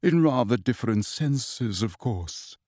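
A man voicing a courteous, melancholy gentleman ghost with a formal, wistful, resigned delivery for an audiobook. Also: mid-range voice, lively intonation, measured pace, no room sound, studio quality. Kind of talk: audiobook character acting.

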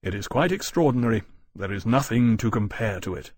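A man voicing a proud, formal and courteous gentleman in a storybook narration. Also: lowish voice, animated, brisk, dry recording, clean studio sound. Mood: reassuring.

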